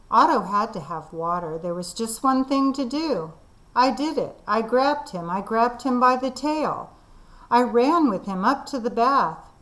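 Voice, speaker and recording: A woman teacher reading a children's storybook aloud, expressive and deliberate. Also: a little high, rough recording. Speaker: kindergarten teacher.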